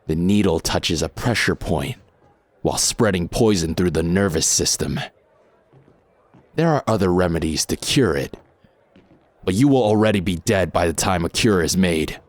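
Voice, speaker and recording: A man acts out a masked mercenary from an audio drama with a deep voice and dry sound. He is cold and composed, delivering his menace in measured phrases. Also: expressive, dry recording. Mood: cool menace.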